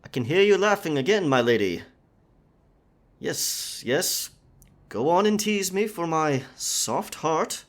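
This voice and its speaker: A man's voice: fairly high pitch, expressive, slow pace. A man voicing an earnest, courteous royal knight in a scripted roleplay audio, speaking formally and chivalrously. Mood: flustered protest. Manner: formal, chivalrous, wordy speech.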